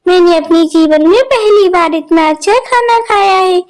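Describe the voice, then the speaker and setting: Sad little girl, tearful and begging, very high; a woman plays her. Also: moderate pace. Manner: pleading and tearful.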